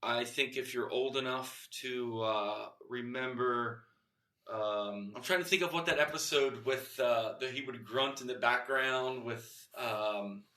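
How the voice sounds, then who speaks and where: A man's voice: medium pitch, measured pace, sharp tone, reverberant. A man hosting a podcast, friendly and a little rambling, with plenty of ums. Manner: friendly, rambling, many fillers. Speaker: podcast host.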